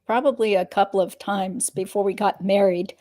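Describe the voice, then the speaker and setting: A woman playing a guarded, matter-of-fact witness giving measured answers in a dramatic reading of a deposition. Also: mid-range voice, steady tone, dark tone, natural voice. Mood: guarded, terse.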